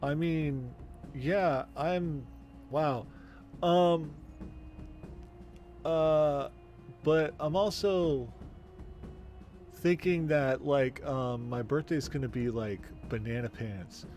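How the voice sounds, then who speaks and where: A man in his forties, the game master, chatting casually and rambling with many pauses. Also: a little high, darkish tone, faint music behind. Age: 40s. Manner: rambling, hesitant. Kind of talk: casual stream chat.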